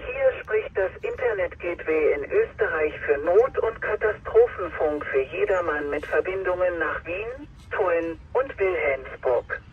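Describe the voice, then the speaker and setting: A man's voice: sits high, lively intonation, measured pace. A man reading a scripted station announcement for an automated gateway, heard over radio. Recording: tinny, distant, hissy radio relay.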